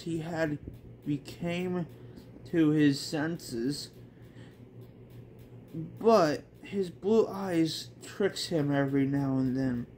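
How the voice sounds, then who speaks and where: Slow, halting man reading aloud, noisy recording. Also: fairly high pitch, a little mellow. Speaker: amateur author reading own manga aloud. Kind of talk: amateur read-along narration.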